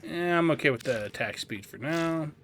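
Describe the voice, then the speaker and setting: A man's voice: a little high, expressive, normal speed, fairly bright tone, a little hiss or hum. A man giving a casual game walkthrough, explaining things as he goes and thinking aloud. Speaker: gameplay guide host.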